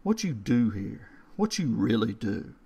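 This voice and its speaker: A man voicing a wary young man who speaks in a clipped, low-key way. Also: sing-song, a little slow, vocal fry, mellow, husky, full character voice.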